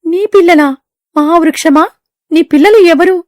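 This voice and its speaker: A woman puts on a little cartoon bird, earnest and easily awed. The voice is pushed very high and sounds dry and close, with an exclamatory, fable-style delivery. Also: unhurried, dry recording. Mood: puzzled. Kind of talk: animated moral story dialogue.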